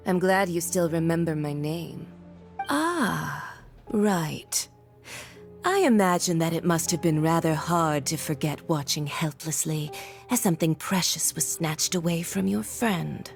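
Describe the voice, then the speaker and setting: Slow, haughty lady villain. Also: fairly low pitch, animated, creaky, faint music behind. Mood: mocking, condescending. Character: haughty, sneering adult female villain, condescending and cruel. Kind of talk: animated video game voice acting.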